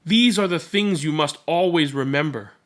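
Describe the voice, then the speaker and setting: A man voicing a young, protective big sister in a storybook, earnest and gently instructing. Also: fairly high pitch, normal speed, natural voice. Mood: earnest, tender.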